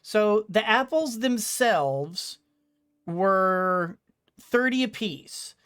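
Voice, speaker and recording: A game streamer with a mid-range voice and a very slow pace. The commentary is casual and rambling, with choices mulled over aloud. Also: mid-range voice, expressive, a bit bright, clean tone. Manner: thinking aloud, hesitant, rambling.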